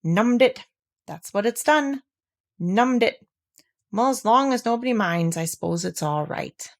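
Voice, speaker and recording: A woman voicing a gloomy, self-pitying old male donkey who grumbles slowly and mournfully. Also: mid-range voice, expressive.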